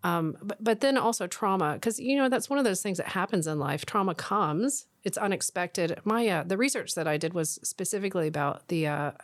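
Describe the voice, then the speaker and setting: Woman, lowish voice, podcast guest, earnest, explanatory. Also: a little fast, few pauses. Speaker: member care worker and researcher.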